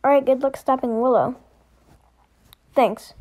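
A woman with a fairly high voice does amateur voice-over for a homemade animation. She reads character lines as acted dialogue. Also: measured pace, a little mellow.

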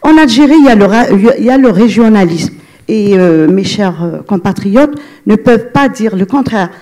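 Halting Algerian-born woman in the audience. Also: mid-range voice, lively intonation, warm tone, low-quality recording. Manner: halting, many restarts and fillers. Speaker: audience member. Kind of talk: audience question.

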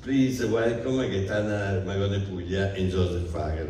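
Deep-voiced older man, halting introduction. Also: fairly even intonation, warm tone, slight background noise. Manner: halting, many fillers. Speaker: event presenter. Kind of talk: formal introduction. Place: bookstore event room. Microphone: handheld mic, far camera. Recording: echoey room from far off.

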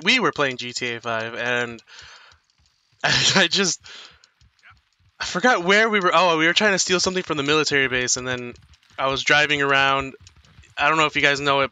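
Bright-voiced rambling young gamer guy. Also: mid-range voice, clean tone, no echo. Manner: rambling, apologetic, self-mocking storyteller. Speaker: gaming streamer. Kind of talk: casual let's play commentary.

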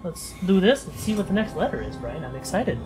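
High-voiced man doing casual game commentary. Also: brisk, music in the background. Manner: excitable, jokey, swearing. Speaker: let's play co-host. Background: horror game sound.

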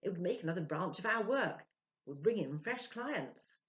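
An earnest, self-important man who works as a medium, acted out by an older woman storyteller, speaks in emphatic, animated swings. He keeps justifying himself. It is a storybook reading on a slightly dull home recording. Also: lowish voice, moderate pace, voice pushed up, natural voice. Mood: eager, emphatic.

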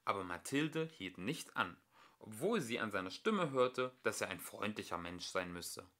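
A man reads a children's story aloud as an amateur narrator. His voice is bright and forward, and his pitch moves in a lively, expressive way through the sentences. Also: normal speed. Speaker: amateur audiobook reader.

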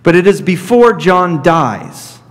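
A man in his thirties, a pastor preaching an expository sermon from a pulpit, teaching deliberately and repeating his key points. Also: high-pitched, animated. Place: church sanctuary at a pulpit. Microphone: fixed camera at middle distance. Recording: reverberant church hall.

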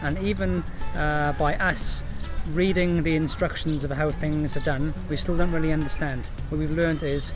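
A middle-aged man chatting casually to a handheld camera outdoors at historic sites, hoarse because he has lost his voice. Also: a little high, steady tone, moderate pace, hardly any pauses. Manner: selfie talk to camera, joking. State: losing his voice. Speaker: travel vlogger. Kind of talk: casual travel vlog chat. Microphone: phone or camera at arm's length. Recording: outdoor crowd noise with loud music. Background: music and crowd.

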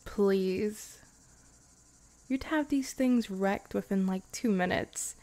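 A woman voicing a loving young vampire girlfriend in an intimate, improvised ASMR roleplay, tender and hushed, often slipping into whispers, close on the microphone. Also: medium pitch, slow pace. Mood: teasing, amused. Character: loving young vampire girlfriend, playful yet afraid of loss.

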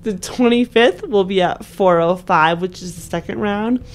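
A woman's voice: medium pitch, moderate pace. A woman co-hosting a podcast in casual, conversational talk. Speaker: podcast co-host. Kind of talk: casual chat.

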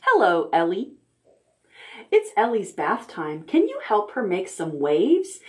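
Storytime host: middle-aged woman, medium pitch, unhurried, expressive delivery aimed at very young children. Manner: simple, expressive talk addressed to toddlers. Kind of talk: children's storytime read-aloud. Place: indoor room, recorded on video.

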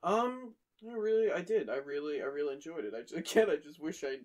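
A man's voice: fairly high pitch, lively intonation, fairly quick. A man co-hosting a casual podcast who agrees a lot, restarts his sentences and swears. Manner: agreeable, repeats "right" and stumbles over restarts, swears. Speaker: podcast co-host. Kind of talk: casual chat reviewing a horror story.

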